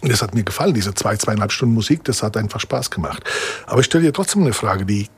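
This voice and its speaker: A man's voice: fairly low pitch, animated, fast, slightly rough. A man interviewing a guest, speaking in an encouraging, rambling way. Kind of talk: casual interview talk.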